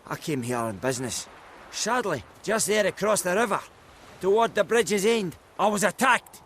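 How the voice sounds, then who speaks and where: High-pitched, pleading merchant. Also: male voice, expressive, normal speed, a bit bright, a little hiss or hum. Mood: aggrieved, dramatic. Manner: theatrical, wordy. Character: wordy, down-on-his-luck male travelling merchant, pleading.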